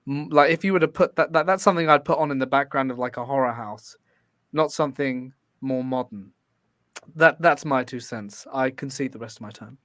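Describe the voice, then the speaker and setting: A man's voice: mid-range voice, brisk, fairly bright tone, no room sound, clean studio sound. A man making his case as a guest in a casual debate, hesitant and rambling with many fillers. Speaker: podcast guest.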